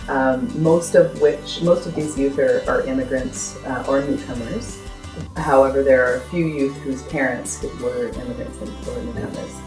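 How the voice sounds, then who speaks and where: A woman's voice: a bass voice, normal speed, a little mellow. A woman speaking earnestly and emphatically as a project coordinator in an interview. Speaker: youth arts project coordinator. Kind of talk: interview to camera. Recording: roomy, faint music behind. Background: music.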